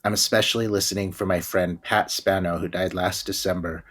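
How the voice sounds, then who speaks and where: A man's voice: low voice, steady tone, a little fast, a bit bright. A middle-aged man co-hosting a podcast, speaking earnestly and reflectively as he wraps up. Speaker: podcast co-host. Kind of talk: podcast host wrap-up.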